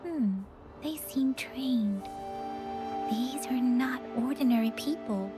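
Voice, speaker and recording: An actress voicing an observant, questioning companion in a video game, speaking in a measured, probing way. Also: female voice, a little high, over music. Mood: skeptical.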